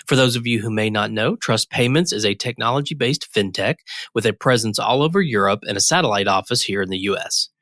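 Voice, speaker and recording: A man's voice: medium pitch, sharp tone, no room sound, studio quality. A man hosting a payments podcast, reading a polished introduction and steering the interview. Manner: smooth, scripted-sounding introductions, friendly prompts. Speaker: podcast host.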